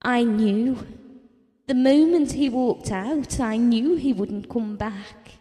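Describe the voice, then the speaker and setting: A woman's voice: on the high side, takes it slowly, warm tone. An actress playing an anxious, grieving wife in an audio drama, confiding her wounded memories of a broken marriage. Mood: hurt, wistful. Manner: wounded, reminiscing, confiding in the listener. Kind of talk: audio drama monologue and dialogue. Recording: reverberant hall-like room sound.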